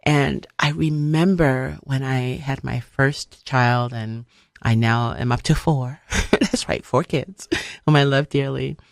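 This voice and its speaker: Woman, middle-aged, from Liberia, low gravelly voice, emphatic motivational radio host, repeats phrases for stress, dry close sound. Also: fairly even intonation, slow pace, no echo. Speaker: radio show host, speaker. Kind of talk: motivational talk on a radio show.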